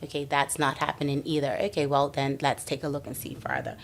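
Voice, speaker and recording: A woman's voice: very deep voice, fairly quick, a little hiss or hum. A woman speech-language pathologist explaining the early signs of speech delay as a podcast guest, walking through them with questions. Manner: walks through steps with questions.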